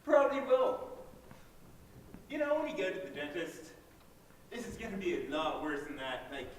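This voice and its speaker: Actor as hapless young man leader, earnest speechifying, stage comedy, high voice, big echoing hall. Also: very animated, normal speed. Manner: mock-inspirational speechifying. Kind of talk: comedic stage play acting. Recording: echoey hall, distant mic, faint noise.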